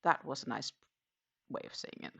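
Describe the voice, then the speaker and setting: A woman's voice: moderate pace, fairly bright tone. A Danish woman who speaks English as a second language, hosting a casual crochet livestream and musing aloud with fillers and restarts. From Denmark. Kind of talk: casual chat while crocheting.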